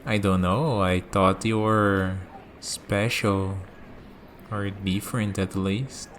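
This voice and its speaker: Man playing an unhurried, low-voiced curious villain. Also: steady tone, fairly bright tone, slight background noise. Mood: calm, curious. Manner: musing, dryly teasing, unhurried. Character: calm, curious young male villain bargaining with a death god. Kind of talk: scripted ASMR roleplay.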